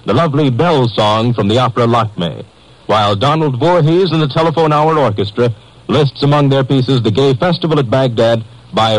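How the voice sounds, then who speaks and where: A man's voice: medium pitch, no echo, poor audio. A man with an American accent reading scripted promos as a 1950s network radio announcer.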